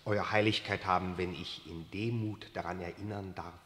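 An actor playing a meek, deferential papal secretary in a 1960s radio drama. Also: male voice, sits low, fairly even intonation, moderate pace, fairly bright tone, roomy sound, long reverb tail, a little hiss or hum. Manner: humble, hesitant.

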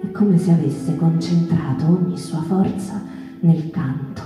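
An actress playing a solemn, entranced storyteller of the mouse folk, delivering lyrical theatrical narration in measured, deliberate phrases. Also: female voice, low voice. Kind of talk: theatrical literary narration over music. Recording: very echoey room, prominent music behind.

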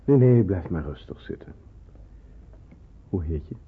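An actor playing a kindly but firm resistance helper in a radio play, earnest and reassuring. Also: male voice, sits low, expressive, unhurried, husky, exaggerated voice. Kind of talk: Dutch radio play acting. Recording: noisy narrow-band old radio play recording.

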